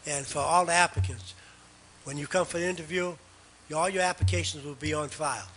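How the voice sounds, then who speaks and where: A man with a somewhat high voice chairs a town board meeting, formal and a little halting as he explains the process. Faint room noise runs underneath. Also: fairly even intonation, a bit bright, rough recording.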